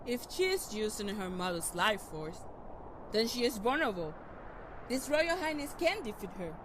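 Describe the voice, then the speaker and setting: A woman's voice: a little high, very animated, background noise. Woman playing a formal, earnest elf warrior. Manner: formal, measured, courtly. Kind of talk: fantasy audio roleplay acting.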